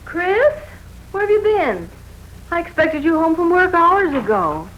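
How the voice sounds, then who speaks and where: An actress playing an anxious, devoted but unhappy young wife in a 1940s radio drama, on a hissy recording. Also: female voice, very high-pitched, very animated, a little slow, muffled recording, heavily acted. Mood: anxious, tender.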